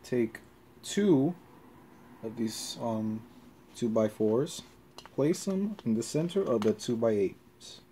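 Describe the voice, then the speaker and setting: A man giving a casual hands-on tutorial in halting, short step-by-step instructions. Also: medium pitch, animated, dark tone, slight background noise. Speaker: tutorial presenter. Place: carpeted floor in a room at home.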